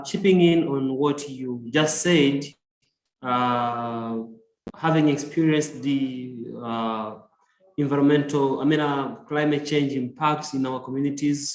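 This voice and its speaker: A man with a Tanzanian accent contributing to an online discussion, searching for his words in a halting way. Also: medium pitch, steady tone. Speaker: environmental organization worker, audience participant. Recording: roomy echo on online call.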